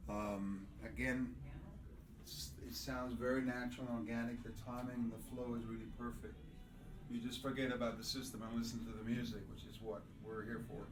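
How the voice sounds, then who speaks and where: A man's voice: mid-range voice, level tone, fairly quick. A man giving an earnest, conversational product presentation as an audio distributor. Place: small demo room at an audio show. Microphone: binaural microphone at a distance. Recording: echoey room, distant from mic.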